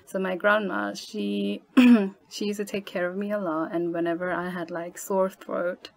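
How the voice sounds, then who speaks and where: A woman's voice: medium pitch, little pitch movement, measured pace, creaky. A woman in her twenties from Nepal, talking casually as a podcast guest with many fillers and frequent laughs. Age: 20s. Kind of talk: casual interview chat.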